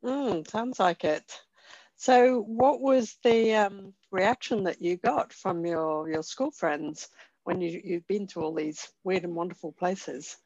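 A woman speaks as a podcast host in a dry recording with no room sound. Her pitch sits in the middle as she conducts an interview. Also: slow, a little mellow, dry recording, muffled recording. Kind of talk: interview hosting.

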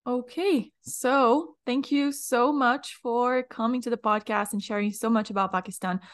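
A woman's voice: on the high side, fairly even intonation, normal speed. A woman hosting a podcast interview, asking friendly, curious questions while she has a cold. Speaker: podcast host. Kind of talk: casual interview.

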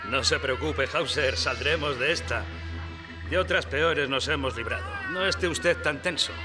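An actor playing a young, commanding submarine captain in an emphatic live radio drama performance. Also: male voice, medium pitch, normal speed, close to an everyday voice. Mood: reassuring, hearty. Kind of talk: live radio theatre acting. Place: live stage in a library before an audience. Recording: reverberant hall, music bed.

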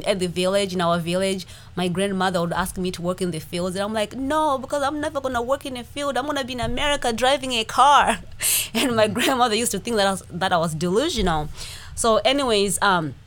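A woman in her forties with a Zimbabwean accent, co-hosting a podcast and telling her life story in a lively way, acting out the things people said. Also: mid-range voice. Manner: animated, acts out quoted speech. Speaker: podcast co-host. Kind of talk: casual personal storytelling.

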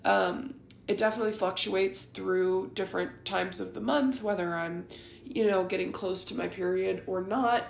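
A woman in her twenties hosting a podcast, rambling candidly in a raspy, groggy voice with plenty of ums. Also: mid-range voice, fairly even intonation, normal speed, reverberant, slight background noise. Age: 20s. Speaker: podcast host. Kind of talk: casual solo ramble.

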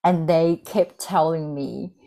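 A woman's voice: deep voice, steady tone, slow, creaky, dark tone. A woman in her thirties from China, a native Mandarin speaker with a Mandarin Chinese accent, talking earnestly and candidly as an interview guest, with frequent ums and restarts. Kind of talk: reflective personal storytelling.

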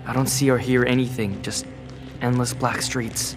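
A man's voice: medium pitch, fairly even intonation, moderate pace, music in the background, close to an everyday voice. An actor playing an anxious teenage boy who asks hesitant questions in a sci-fi audio drama. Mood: troubled.

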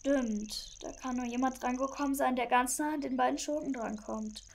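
Woman as a wary little detective sidekick in a kids audio drama, high voice, kinda wry and expressive. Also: normal speed, gravelly, slight background noise. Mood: doubtful. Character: young child detective, wary, teasing sidekick. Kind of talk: children's audio drama acting.

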